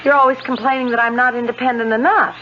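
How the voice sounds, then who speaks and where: A woman's voice: a little high, fairly even intonation, fast, faint music behind, lightly acted. An American actress playing a cheery, independent young woman in a radio drama. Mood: cheerful. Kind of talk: 1970s radio drama acting. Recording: dull, very noisy 1970s radio recording.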